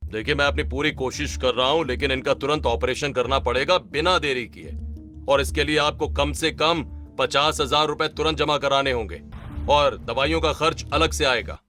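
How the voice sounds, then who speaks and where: A man playing a grave, polite hospital doctor in a story drama, measured and formal. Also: medium pitch, sharp tone, faint music behind, natural voice.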